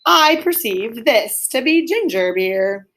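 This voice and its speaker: A masterful, hearty male rat from a children's storybook, acted out by a woman. The delivery is brisk and commanding, full of exclamations and orders, in a friendly host's manner. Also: fairly high pitch, very animated, fairly bright tone, exaggerated voice. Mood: approving, busy.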